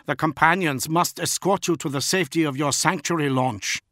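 A man's voice: on the high side, moderate pace, bright, forceful tone, no room sound, clean studio sound, pitched above the performer's own voice. A man voicing a stern, loyal male bodyguard in a science fiction audiobook, speaking firmly and formally. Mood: insistent. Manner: firm, formal, insistent.

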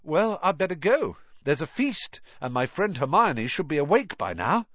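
A man's voice: on the high side, big pitch swings, normal speed, fairly bright tone, no echo, lo-fi sound, voice pushed up. A man voicing a plucky, good-natured schoolboy hero in an audiobook, plain-spoken and modest. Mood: puzzled, mild.

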